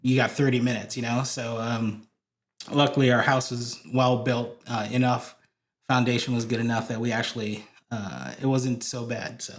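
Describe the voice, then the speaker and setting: A man's voice: medium pitch, little pitch movement, normal speed. A man from Hawaii, a guest on a podcast, telling stories in a rambling way with many fillers and restarts. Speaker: podcast guest, church video director. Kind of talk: casual interview chat.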